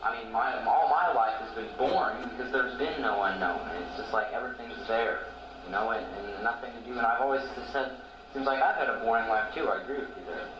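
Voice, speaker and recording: A puzzled, ordinary dead man played by a male amateur rambles hesitantly in a drama scene. His voice sits away from the mic in heavy echo, with clear background noise. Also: mid-range voice, quick pace, dull audio, lightly acted. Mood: musing. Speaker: amateur actor in a hobby film. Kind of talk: casual amateur drama dialogue. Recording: echoey, distant, hissy homemade recording.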